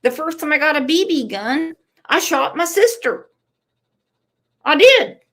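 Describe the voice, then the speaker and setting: A woman's voice: sits high, a little slow. A woman hosting a casual live stream, reading chat comments aloud with emphatic, profane commentary while losing her voice. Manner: animated, swearing, emphatic.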